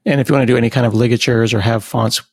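A man's voice: mid-range voice, fairly even intonation, racing, a little mellow, breathy edge, dry recording, clean studio sound. A man hosting an interview podcast, talking in a conversational presenter's way. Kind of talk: conversational tech interview with sponsor read.

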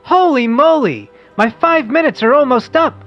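An adult playing an excitable, wholesome boy who hosts a radio quiz, read in the manner of an old comic-book radio play. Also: high voice, moderate pace, gravelly, muffled recording, soft background music. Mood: excited, flustered.

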